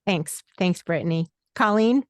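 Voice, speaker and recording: A woman's voice: fairly low pitch, measured pace, dry recording, clean studio sound. A woman in her late fifties speaking conversationally as the moderator of a webinar panel.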